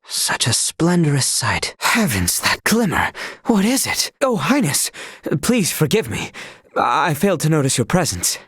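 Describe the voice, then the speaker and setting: An actor playing a devoted, earnest young knight in a video game, speaking in formal, archaic courtly language. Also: male voice, a little high, wildly swooping pitch, measured pace, fairly bright tone, slightly rough, no echo, studio quality, exaggerated voice. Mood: courteous, flustered.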